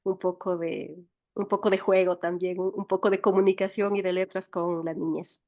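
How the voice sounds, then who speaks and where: A woman's voice: on the low side, level tone, normal speed, muffled recording. A woman from Ecuador with an Ecuadorian accent, speaking conversationally as a guest writer and educator in an interview.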